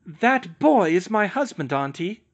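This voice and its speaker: A man's voice: high-pitched, very animated, measured pace, lo-fi sound, pitched above the performer's own voice, heavily acted. A man voicing Molly, a fiery protective mother.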